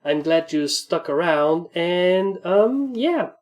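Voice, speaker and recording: A woman's voice: fairly low pitch, expressive, slow, darkish tone. A woman narrating fan fiction as a reader and host. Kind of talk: story narration. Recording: music under the voice. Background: background music.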